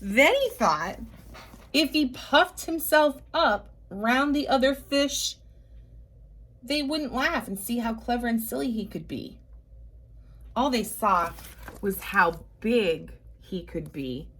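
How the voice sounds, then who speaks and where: Woman, higher-pitched voice, unhurried storybook read-aloud, expressive emphasis, chatty asides for little kids. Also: husky.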